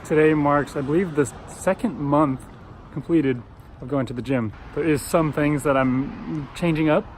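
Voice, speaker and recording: A man's voice: on the high side, moderate pace, a little hiss or hum. A young man talking casually to the camera in a video diary. He is chatty and pokes fun at himself. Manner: chatty, self-deprecating, laughs at himself. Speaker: vlogger.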